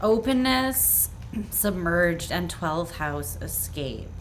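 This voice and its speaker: Low-voiced woman, voice going hoarse. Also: expressive, slow pace, slight background noise. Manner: earnest, reassuring, with frequent "like" and "um" and repeated throat clearing. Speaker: tarot reader. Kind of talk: pick-a-card tarot reading, speaking to the viewer.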